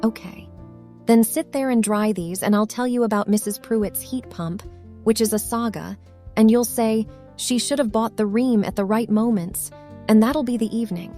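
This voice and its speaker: A woman's voice: fairly high pitch, normal speed, mellow, faint music behind, lightly acted. A woman playing a kindly bossy, teasing companion in an audio drama, with a homely, directing manner. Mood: gently teasing.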